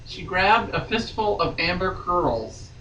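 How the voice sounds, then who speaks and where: A woman's voice: lowish voice, big pitch swings, takes it slowly, fairly bright tone. A woman giving a theatrical, mock-serious dramatic reading of a romance novel as a guest reader. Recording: echoey room, distant mic, faint noise.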